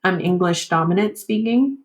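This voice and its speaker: A woman whose first language is Vietnamese, talking candidly and reflectively in an interview, halting and often saying "like" and "you know". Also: fairly low pitch, roomy sound. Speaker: podcast guest.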